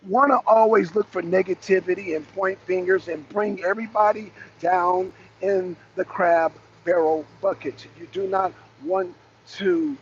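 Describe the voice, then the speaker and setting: A raspy-voiced man hosting a casual rant to his viewers from his truck, blunt and profane as he calls out rivals. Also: high-pitched, a little slow, highs cut off, a little hiss or hum. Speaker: host. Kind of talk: casual rant to a live audience. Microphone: phone camera.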